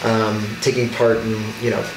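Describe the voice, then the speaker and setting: A man's voice: on the low side, level tone, normal speed, echoey room, far from the mic, a little hiss or hum, poor audio. A young man, an alderman from Wisconsin, telling his life story earnestly in an interview. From Racine, Wisconsin. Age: young adult. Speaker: alderman guest.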